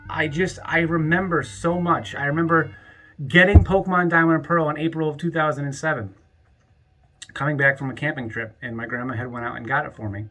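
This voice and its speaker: A man in his thirties with a bright, forceful voice pitched a little high talks to his viewers in a loose, rambling way. He stops and restarts his sentences often and swears freely. Soft music plays faintly behind him. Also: poor audio. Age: 30s. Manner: rambling, halting, with many restarts; rises into an angry, profane rant. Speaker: YouTuber. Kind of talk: reflective birthday vlog monologue.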